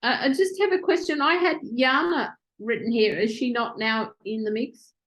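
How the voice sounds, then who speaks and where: A woman interviewing virtual assistants on a video call, excitable and quick to speed up when she gets going. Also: a little high.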